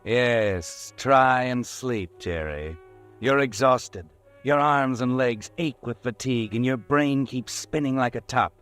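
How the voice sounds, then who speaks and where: A man's voice: mid-range voice, takes it slowly, fairly bright tone, faint music behind. A man narrating in second person, soothing and eerie, in an old radio drama.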